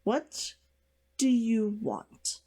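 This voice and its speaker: A blunt, protective man from a fantasy novel, read by a woman. He gives orders in an exasperated, commanding way, with lively swings in pitch. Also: extremely slow, breathy edge, exaggerated voice. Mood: blunt, annoyed.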